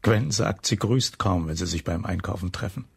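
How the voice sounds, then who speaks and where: An actor playing a troubled, conscientious lighthouse keeper in a German crime radio play, subdued and reflective. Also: male voice, sits low, normal speed, vocal fry, husky, full character voice. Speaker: actor in an audio drama.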